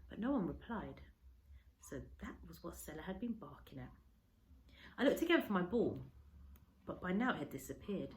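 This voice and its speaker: A woman reading a children's story aloud like a teacher, with expressive, varied emphasis. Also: on the low side. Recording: roomy, echoey indoor sound.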